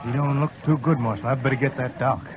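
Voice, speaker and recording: An actor playing a wary cowboy sidekick in a 1950s radio drama, with a grudging, drawling manner. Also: male voice, medium pitch, steady tone, quick pace, a little hiss or hum, close to an everyday voice. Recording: lo-fi old radio transcription.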